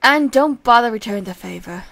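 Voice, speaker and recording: Woman acting a proud, high-voiced princely twin brother. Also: lively intonation, fairly quick, creaky, fairly bright tone. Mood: stiff, haughty. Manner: haughty, theatrical, huffy. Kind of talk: amateur comic dub acting.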